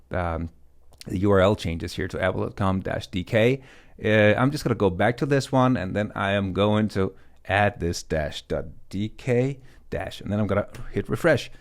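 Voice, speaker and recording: Low-voiced man teaching casually. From Denmark. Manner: informal, explanatory. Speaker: web development tutorial host. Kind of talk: casual screen-recorded tutorial.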